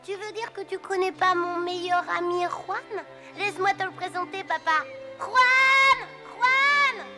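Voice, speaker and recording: A woman's voice: very high voice, unhurried. A woman playing a cheerful, affectionate little boy in a cartoon dub. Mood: cheerful, eager. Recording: music behind the voice.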